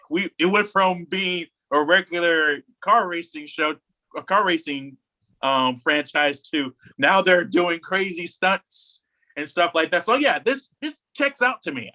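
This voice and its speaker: A man's voice: a little high, pushed and bright. A man co-hosting a podcast, talking casually and giving opinions in a rambling, emphatic way, often repeating himself. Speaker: podcast co-host. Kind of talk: casual opinionated chat about anime news.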